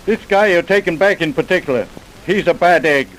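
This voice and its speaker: An actor playing a gruff, skeptical police officer with a casual, dismissive manner in an old-time radio drama. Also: male voice, high-pitched, a little fast, close to an everyday voice, low-quality recording. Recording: hissy vintage radio recording.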